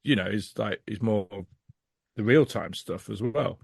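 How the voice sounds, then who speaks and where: A man's voice: lowish voice, a little slow, creaky, slightly rough, dry recording, clean studio sound. A man speaking as a podcast guest in casual conversation, blunt, opinionated and sweary.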